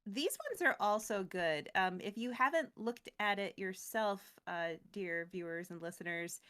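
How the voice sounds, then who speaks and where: A woman co-hosting a recap show, retelling a story in a casual, jokey, slangy and expressive way. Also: mid-range voice, unhurried, clear, resonant tone. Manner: expressive, slangy, acts out quotes playfully.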